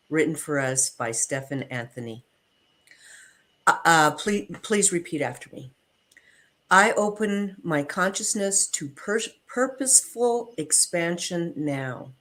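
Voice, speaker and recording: Woman w a low voice reading inspirational stuff out loud, really slow n measured. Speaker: affirmation and reading leader.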